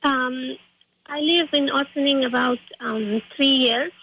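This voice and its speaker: A young woman with an Afghan accent, answering interview questions in a halting, earnest way. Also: high-pitched, creaky, bright, forceful tone, slight background noise, rough recording. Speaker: interview guest.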